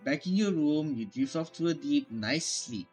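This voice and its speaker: A man's voice: on the high side, lively intonation, moderate pace, darkish tone, faint music behind. A male gaming YouTuber giving casual commentary and reading game text aloud.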